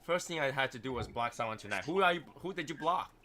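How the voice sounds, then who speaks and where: A man's voice: mid-range voice, lively intonation, fast talker, pushed and bright. A man in his thirties from Boston hosting a casual live stream chat in a rambling, repetitive way. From Boston, United States. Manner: rambling, repeats himself. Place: small foam-padded home studio.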